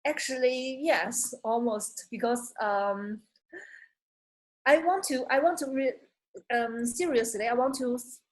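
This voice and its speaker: Chinese-accented woman, halting English. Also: on the high side, low-quality recording. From China. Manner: halting non-native English with many restarts. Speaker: job applicant. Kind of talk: conversational English practice.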